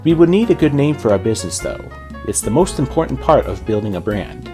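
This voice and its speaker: A man's voice: mid-range voice, big pitch swings, brisk, over music, voice pushed up, natural voice. A man voicing a level-headed, skeptical female pony shopkeeper with casual, reasoning speech in a storybook reading. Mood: practical.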